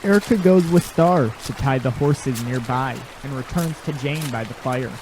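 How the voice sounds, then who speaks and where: A man's voice: medium pitch, moderate pace, noisy background. A man narrating a scripted audio drama story.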